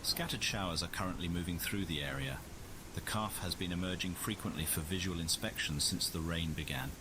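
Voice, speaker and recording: A man playing a scientist who narrates a wildlife field study in measured, formal scripted documentary style. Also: low voice, noisy background, close to an everyday voice. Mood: measured, informative. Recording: loud music under the voice.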